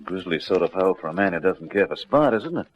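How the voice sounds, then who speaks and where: Dry police sidekick, wry, varied intonation, radio drama, muffled vintage sound. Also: male voice, low voice, lively intonation, fast talker, slight background noise. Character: dry, wry male police officer assisting sergeant. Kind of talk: classic radio detective drama acting.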